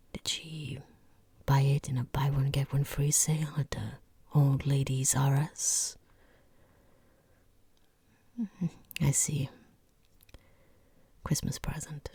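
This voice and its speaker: A woman playing a rude, bored, condescending boss in a softly spoken, mostly whispered ASMR roleplay, close to the microphone, with snide, deliberate delivery. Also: a bass voice, dry recording, exaggerated voice. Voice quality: breathy, husky whisper.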